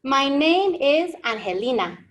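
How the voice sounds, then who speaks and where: A woman's voice: high-pitched, big pitch swings, measured pace, noisy background. A woman reading the part of a young schoolgirl earnestly telling her story in a storybook.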